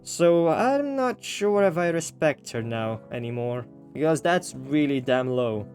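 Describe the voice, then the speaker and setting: A man's voice: on the high side, expressive, unhurried, darkish tone. A man reading a game story aloud and commenting on it casually, with informal slang. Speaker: gaming host reading a visual novel aloud. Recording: music behind the voice. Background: game music with guitar.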